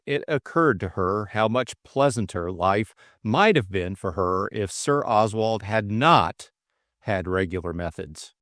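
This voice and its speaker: Animated man narrating, very dry sound. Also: lowish voice, no room sound. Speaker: audiobook narrator and voice actor. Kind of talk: scripted fiction narration.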